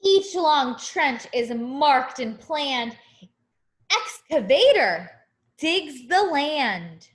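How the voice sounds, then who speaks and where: High-pitched, animated woman reading to children. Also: extremely slow, echoey room. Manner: expressive, simple phrasing for small children. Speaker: teacher reading a picture book to young students. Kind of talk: children's read-aloud with asides to the listeners.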